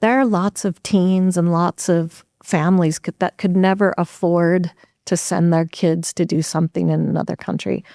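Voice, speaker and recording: An earnest, upbeat woman explains things at length on a podcast. Her voice sits a little low and sounds mellow. The room is dry and close-miked. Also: steady tone, normal speed, gravelly, dry recording. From United States. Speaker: executive director of a refugee nonprofit, podcast guest. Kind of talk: conversational podcast interview.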